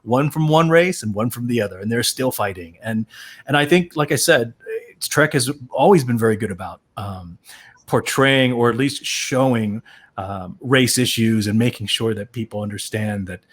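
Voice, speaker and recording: Outspoken man telling animated stories. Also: mid-range voice, normal speed, breathy edge. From USA. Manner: animated storyteller, outspoken, acts out quoted voices. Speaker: actor and panel guest. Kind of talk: panel discussion.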